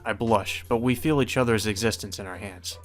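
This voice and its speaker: A man's voice: fairly low pitch, brisk, soft background music. A man reading visual novel narration aloud as a let's player, with casual commentary.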